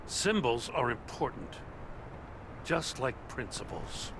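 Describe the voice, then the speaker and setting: Game role: principled older cop in charge, earnest, blunt, lively intonation, clear street noise underneath. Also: male voice, medium pitch, slow. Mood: gruff, defiant. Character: gruff, principled older male police chief. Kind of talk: dramatic video game acting.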